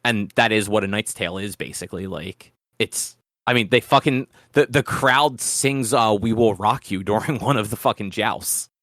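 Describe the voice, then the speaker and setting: A man's voice: fairly low pitch, moderate pace, hardly any pauses, fairly bright tone, no echo, studio quality. A man co-hosting a podcast, chatting casually about films in a rambling, swearing way. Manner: rambling, profane. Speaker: podcast co-host. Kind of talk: casual film discussion chat.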